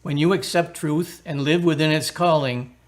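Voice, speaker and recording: Man playing an earnest, kindly priest, higher-pitched voice. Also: moderate pace, close to an everyday voice. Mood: earnest preaching. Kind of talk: radio drama acting.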